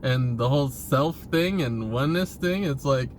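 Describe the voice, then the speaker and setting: A man's voice: mid-range voice, moderate pace, slight background noise. A man talking casually in a rambling monologue, hesitant and often restarting his phrases, as a vlogger posing questions.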